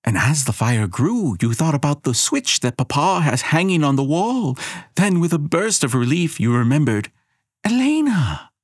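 A man's voice: a little high, big pitch swings, moderate pace, breathy edge, no echo, clean studio sound, pitched above the performer's own voice. A man voicing a smug, haughty fire goddess. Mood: mocking. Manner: snippy, smug and mocking. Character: haughty sharp-tongued young female fire goddess.

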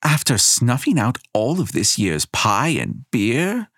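A man voicing a haughty, sharp-tongued young fire goddess in a storybook reading, snippy and mocking. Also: mid-range voice, sing-song, slow pace, slightly rough, no room sound, clean studio sound, pitched above the performer's own voice, heavily acted. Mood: smug.

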